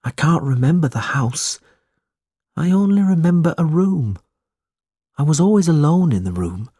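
A man's voice: fairly high pitch, a little slow, mellow, slightly rough, no room sound, clean studio sound, voice pushed up. A man voicing a weak, timid orphan boy in a storybook, sad and fearful.

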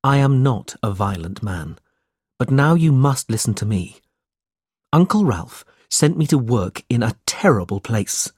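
A man's voice: medium pitch, sing-song, measured pace, darkish tone, slightly rough, no echo, studio quality. A man voicing a brave, protective young man in a storybook, speaking earnestly and with defiance. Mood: indignant.

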